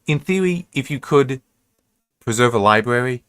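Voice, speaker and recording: An American man giving casual, unscripted commentary as a reviewer, halting and correcting himself as he rambles. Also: mid-range voice, animated, a bit bright, smooth, dry recording, clean studio sound. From United States. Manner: halting, self-correcting, restarts and digresses often. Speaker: reviewer hosting an episode-by-episode analysis.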